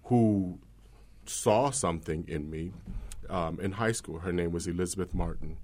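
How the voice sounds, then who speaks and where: A man's voice: low voice, unhurried, darkish tone. A man, an opera singer, telling stories from his youth in an earnest, conversational way on a panel. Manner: earnest storytelling.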